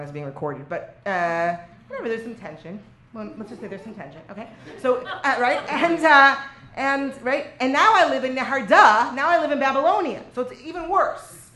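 A woman teaching a religious text class in an emphatic, interactive way, peppering her talk with quick check-in questions. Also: on the high side. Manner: animated, emphatic, peppers talk with "right?" and "okay?"; switches between English and Aramaic or Hebrew quotation. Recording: echoey room with clear background noise.

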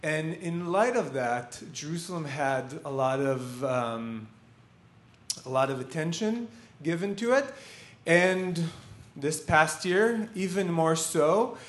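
A man speaks to an audience as a guest photographer. He is conversational and earnest, and his voice is slightly high. The room echoes around him. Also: animated, takes it slowly. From Israel. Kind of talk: public lecture. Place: lecture hall. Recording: echoey hall.

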